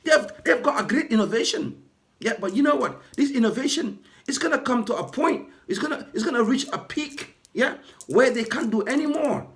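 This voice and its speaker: A man who works as a ride-hailing driver, hoarse from losing his voice, delivering an indignant, repetitive rant to camera for his vlog. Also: very high-pitched, expressive, moderate pace, a bit bright. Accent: British. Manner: indignant, repetitive, building to impassioned outbursts.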